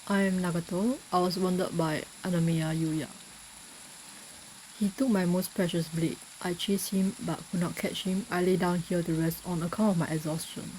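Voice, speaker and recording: A woman's voice: fairly low pitch, fairly even intonation, normal speed, mellow, a little hiss or hum, rough recording. A woman casually playing a game, reading on-screen text aloud and commenting as she goes. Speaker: gamer playing on a recorded session.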